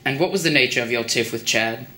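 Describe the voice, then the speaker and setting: A man plays an earnest documentary reporter narrating a mockumentary. His voice is mid-pitched and bright, with scripted interviewer phrasing. The recording has a roomy echo. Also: fast, a little hiss or hum, close to an everyday voice.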